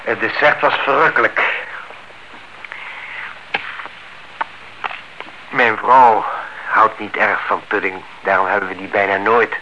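An actor playing a courteous, evasive married neighbour in a Dutch radio play, smooth-talking and guarded. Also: male voice, fairly low pitch, fairly even intonation, moderate pace, bright, forceful tone. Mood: polite. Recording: dull, noisy old radio recording.

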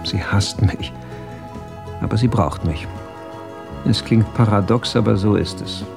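A bitter husband in radio drama, music playing under it, embittered and well spoken. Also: male voice, lowish voice, a little slow, creaky. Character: bitter, resentful husband trapped in a loveless marriage.

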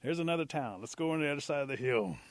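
A man's voice: a little high, lively intonation, fast, no echo, voice pushed up, lightly acted. Man playing a brash, boastful old trickster rabbit. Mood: dismissive, scheming.

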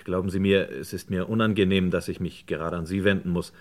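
Actor as low-voiced, courteous, earnest young man. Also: steady tone, brisk, close to an everyday voice. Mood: polite, earnest. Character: earnest, polite young man, son on an errand. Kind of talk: German radio crime play acting.

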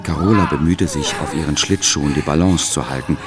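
A man narrating a German radio play as a solemn, measured literary storyteller, quietly enchanted by what he observes. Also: a bass voice, over loud music.

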